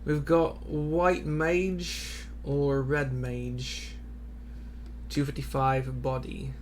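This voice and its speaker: A man's voice: mid-range voice, level tone, extremely slow, a little hiss or hum. A man giving informal, muttered commentary as he plays and reads through a video game. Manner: informal, self-critical, muttering asides. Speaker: gaming streamer. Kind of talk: casual commentary while voicing game dialogue.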